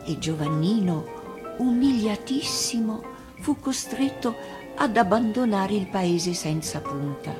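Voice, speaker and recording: A woman, an actress, reading a children's fairy tale as expressive scripted narration. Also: medium pitch, slow, over music.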